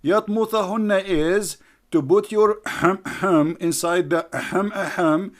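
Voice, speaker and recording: Guy ranting, mocking and sneering, kinda high voice. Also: unhurried. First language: Arabic. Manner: mocking, scornful, calls names. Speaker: online commentator. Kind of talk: heated argumentative commentary.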